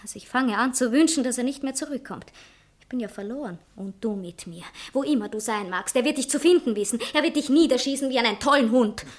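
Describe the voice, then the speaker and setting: A woman's voice: on the high side, animated, quick pace. An actress playing a proud, impulsive society woman writer in a satirical radio play, theatrical, by turns coquettish and indignant. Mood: alarmed.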